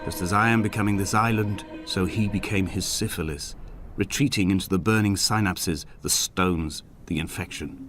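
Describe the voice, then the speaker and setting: An actor playing a grieving, injured castaway who narrates a video game in solemn, poetic, feverish monologue. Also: male voice, low voice, a bit bright. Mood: bleak. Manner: solemn, poetic, deliberate delivery. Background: music.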